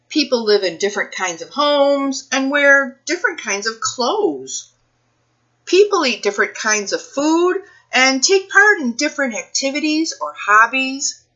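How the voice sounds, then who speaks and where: A woman reading a picture book aloud for children's storytime, phrasing things deliberately and putting questions to her young listeners. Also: high voice, sharp tone, highs cut off.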